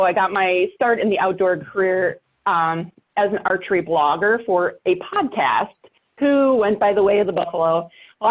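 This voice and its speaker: A woman podcaster chatting casually and emphatically, repeating herself to drive points home. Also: mid-range voice. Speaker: podcast host and writer. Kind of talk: casual chat. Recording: thin, phone-like call audio.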